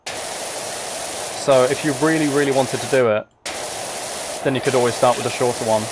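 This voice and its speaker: A man's voice: mid-range voice, steady tone, normal speed. Man chatting casually over noisy game spray. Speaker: livestreamer. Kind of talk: casual livestream chat while playing a game. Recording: clear background noise, spraying game sounds. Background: game ambience and water spray.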